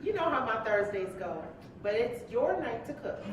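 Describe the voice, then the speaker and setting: A woman's voice: on the high side, very animated, normal speed. A woman acting a teasing, affectionate young wife in a staged play, with a playful, coaxing way of talking. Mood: breezy. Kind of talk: live staged play dialogue. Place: large echoey room, live staged reading. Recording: very echoey live hall, distant mic, faint noise.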